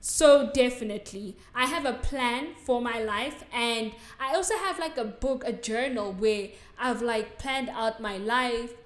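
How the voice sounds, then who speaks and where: A woman's voice: fairly high pitch, measured pace, few pauses. A young South African woman with a South African accent, hosting a solo podcast in a chatty, giggly way full of likes and ums. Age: young adult. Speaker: podcast host. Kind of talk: solo podcast answering listener assumptions. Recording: echoey room.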